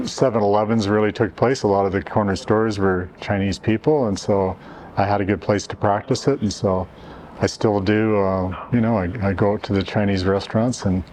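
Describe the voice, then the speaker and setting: A middle-aged man from Canada answers interview questions in a lowish voice, hesitating and restarting often. He fills gaps with "you know" and "uh". A soft hum of ambience sits under the voice. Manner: hesitant, many restarts and fillers. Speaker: interviewee, event organizer.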